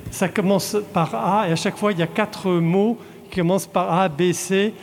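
A man from Belgium, a translator, answering audience questions in a halting way with frequent restarts. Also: high voice, fairly even intonation, a little hiss or hum. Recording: long hall reverb.

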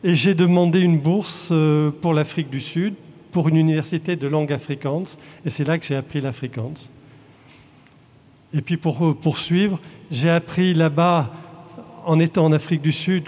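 Man from Belgium, halting, echoing hall. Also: on the high side, a little mellow. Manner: halting, restarts often. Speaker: translator. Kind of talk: answering audience questions. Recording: long hall reverb.

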